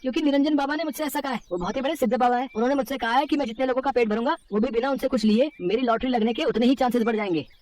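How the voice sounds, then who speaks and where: An eager, good-hearted young man from a cartoon fable, put on by an actor. The voice is high and very quick, chatty and excitable. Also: close to an everyday voice. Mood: breezy, confident. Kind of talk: animated Hindi moral story dialogue.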